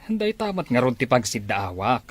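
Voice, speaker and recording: A man's voice: mid-range voice, sing-song, moderate pace, no echo. A man with an Ilocano accent playing a stern, disgruntled farmer father in a family drama. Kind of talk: amateur Ilocano radio-style family drama.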